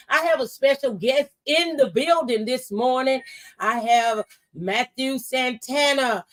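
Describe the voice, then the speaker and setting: A woman hosting a faith-based talk show, speaking in an encouraging, testifying way with preacher-like exhortation. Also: a little high.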